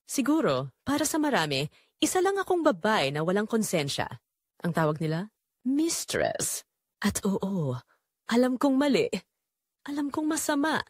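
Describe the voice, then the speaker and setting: A woman playing a remorseful secretary and mistress, narrating her forbidden love in an expressive, confessional audio drama style. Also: medium pitch, dry recording, studio quality.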